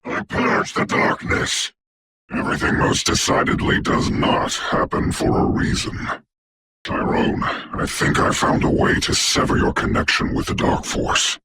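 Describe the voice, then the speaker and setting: An actor voicing a commanding, haughty dark sorcerer for a video game, speaking in a formal, grandiose, deliberate way with a gravelly, breathy voice. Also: male voice, heavy background noise, close to an everyday voice. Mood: solemn, commanding. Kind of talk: theatrical hero game voice lines.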